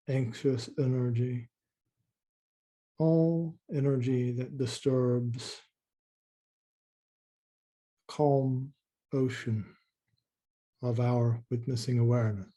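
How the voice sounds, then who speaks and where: A man leading a guided meditation in a soft, slow, calming way, with long pauses and repeated words. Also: medium pitch.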